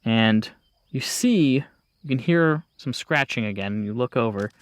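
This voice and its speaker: A man's voice: medium pitch, animated, a little slow, dry recording. Dry-sounding man running a tabletop game. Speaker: game master. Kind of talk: improvised tabletop horror game narration.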